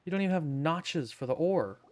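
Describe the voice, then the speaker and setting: Very low-voiced, deadpan woman streamer. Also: brisk. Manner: sarcastic, deadpan, sweary. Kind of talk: casual game commentary.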